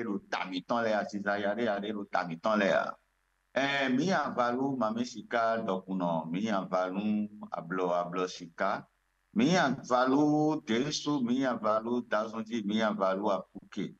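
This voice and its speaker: Over a dull, lo-fi connection, a man with a Beninese accent speaks in a fairly low voice. He is a traditional spiritual leader and interview guest, addressing listeners in a ceremonial, invocatory style.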